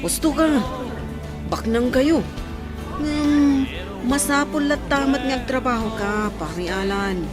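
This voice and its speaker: Woman playing a high-voiced, cautioning friend. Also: takes it slowly, pauses often, music in the background. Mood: advising. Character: frank, cautioning family friend giving advice. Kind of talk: Ilocano audio drama acting.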